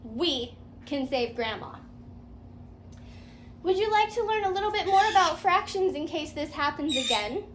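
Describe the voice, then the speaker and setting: A woman's voice: high-pitched, expressive, normal speed, long pauses, a bit bright. A woman teaching a playful fairytale math lesson step by step, encouraging her pupil with sing-song questions and repeated answers. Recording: roomy echo, faint background noise.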